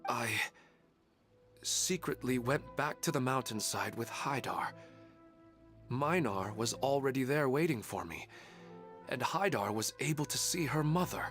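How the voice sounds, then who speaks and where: An actor playing a worried father in a video game, speaking haltingly and confused. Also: mid-range voice, expressive, a bit bright. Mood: bewildered. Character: worried father, bewildered, then urgent. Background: faint game music.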